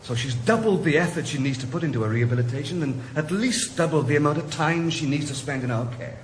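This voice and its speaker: A man's voice: fairly high pitch, quick pace, reverberant, a little hiss or hum, lightly acted, poor audio. A man playing a detached, probing rehab doctor in a radio drama. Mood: clinical. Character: clinical male rehab doctor, cool and pointed.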